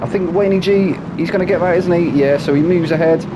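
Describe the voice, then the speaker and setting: A man calls a sim racing league with a snuffly, stuffed-up voice, pitched fairly high for a man. He chats along casually and keeps tacking on little questions like "isn't he?". Loud car engine noise runs under everything he says. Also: brisk. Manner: chatty, asks tag questions, drops low for asides. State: snuffly from hay fever. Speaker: race commentator. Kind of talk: live sim racing commentary.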